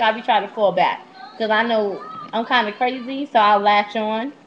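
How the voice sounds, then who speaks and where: A woman's voice: mid-range voice, a little hiss or hum. Candid young woman on a dull webcam mic. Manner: candid, slangy, profane. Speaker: vlogger. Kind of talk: casual confessional storytelling to webcam. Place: small indoor room at home. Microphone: netbook's built-in webcam mic.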